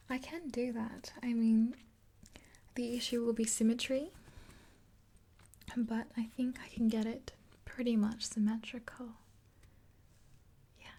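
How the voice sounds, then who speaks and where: Young woman, ASMR roleplay, unhurried, soft dark tone, breathy whisper, even pitch, close mic. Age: 20s. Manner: gentle, unhurried. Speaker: ASMR creator playing a makeup artist. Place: small indoor room. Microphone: close mic, face near camera.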